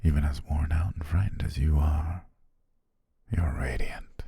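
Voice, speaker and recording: A man playing a possessive shapeshifting naga who poses as a devoted servant, speaking gently and formally in an intimate ASMR roleplay, husky, breathy and often whispering. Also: rumbling low, no echo, full character voice. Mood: tender, possessive, coaxing. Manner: slow, gentle, formal, coaxing.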